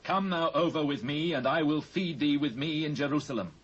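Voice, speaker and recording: Husky commanding British king. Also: a little high, brisk, highs cut off. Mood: gracious. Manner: formal, archaic, declarative. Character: commanding Israelite king, decisive and authoritative. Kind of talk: dramatised scripture acting.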